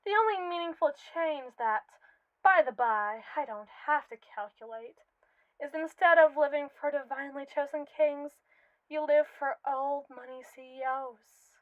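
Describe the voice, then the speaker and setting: A woman's voice: high-pitched, a little slow, dry recording, phone-quality sound. A woman voicing a haughty, possessive evil female robot in a scripted ASMR roleplay, speaking precisely with a condescending, sardonic and imperious manner. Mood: imperious, grandiose.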